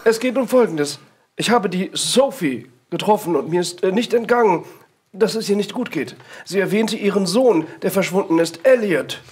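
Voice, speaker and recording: A man playing a servile, formal butler in an improvised audio drama, deferential and deliberate. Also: high-pitched, lively intonation, a little mellow, slight background noise. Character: servile, anxious butler with suppressed resentment. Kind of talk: improvised tabletop role-play audio drama.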